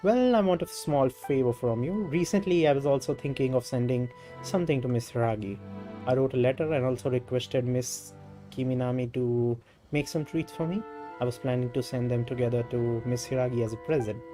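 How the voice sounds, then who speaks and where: A man with an Indian accent reading out a polite, earnest young clan official in a fantasy game, in a halting delivery. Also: mid-range voice, dark tone, faint music behind, natural voice. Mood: courteous. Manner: halting read-aloud delivery, repeating stumbled words.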